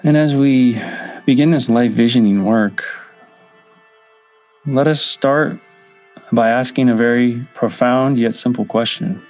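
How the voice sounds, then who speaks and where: Slow-paced man guiding a meditation over music. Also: mid-range voice, darkish tone. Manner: measured, pausing between short phrases. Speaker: meditation guide. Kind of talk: guided meditation. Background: background music.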